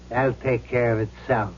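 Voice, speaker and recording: A man's voice: mid-range voice, a little mellow, close to an everyday voice. Man acting a cocky, menacing gangster ghost. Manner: tough, slangy, sneering wise guy. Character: cocky vengeful gambler's ghost, bullying gangster type. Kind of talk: 1970s radio drama acting. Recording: dull, noisy old radio broadcast.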